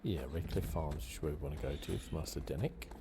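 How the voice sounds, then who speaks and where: Low, creaky-voiced man doing ASMR gaming. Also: fast, noisy background. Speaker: gaming channel host. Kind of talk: relaxed ASMR game commentary.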